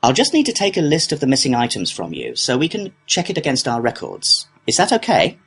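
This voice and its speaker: A man acting as a courteous male customer-service clerk. His voice is husky and rises and falls a lot as he works helpfully through a scripted procedure. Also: mid-range voice, huge pitch swings, brisk, fairly bright tone, heavily acted. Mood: courteous, businesslike. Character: courteous, helpful complaints clerk at an antiques company. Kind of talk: scripted listening-test dialogue.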